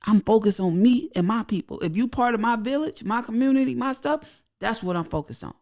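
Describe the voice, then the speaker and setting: A man talking as a podcast guest who has a high-pitched voice, speaking bluntly and repeating his points for emphasis. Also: expressive, fairly quick, a little mellow. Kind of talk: casual podcast conversation.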